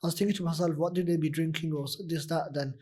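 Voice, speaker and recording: A young man with a husky, deep voice, a nasheed reciter talking earnestly in a casual interview, full of "you know" fillers. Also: steady tone, quick pace, darkish tone. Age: young adult. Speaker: nasheed artist guest.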